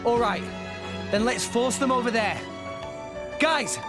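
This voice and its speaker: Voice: medium pitch, expressive, slow. An actor playing an earnest, brave teenage boy adventurer in a video game cutscene. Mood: urgent. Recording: loud music behind the voice.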